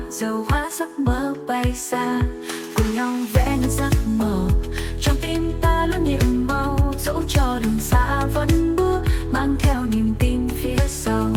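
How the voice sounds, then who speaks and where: High female voice, singing, slow phrasing, cheerful theme song, loud musical backing.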